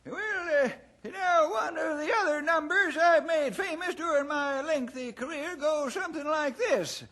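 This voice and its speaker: A man's voice: very high voice, moderate pace, a bit bright. A male actor playing a boastful, folksy old-timer with a cracked voice and a blustering rustic manner in a radio drama. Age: older.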